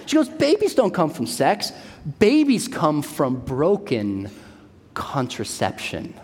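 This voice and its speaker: A man's voice: a little high, wildly swooping pitch, takes it slowly, a little hiss or hum. A man in his forties giving an emphatic church talk, repeating his key points. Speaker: speaker at a church. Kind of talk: sermon-style talk. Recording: long hall reverb.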